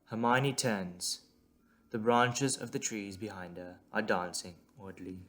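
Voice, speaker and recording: A man's voice: lowish voice, fairly even intonation, slow pace, a bit bright. A man reading scripted dramatic narration as the narrator of an audio drama. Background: music.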